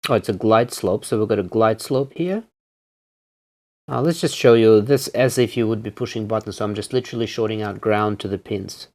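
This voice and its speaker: A man presenting a casual hands-on electronics demonstration, explaining things in a halting way. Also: on the low side, darkish tone. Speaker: presenter reviewing electronics on video.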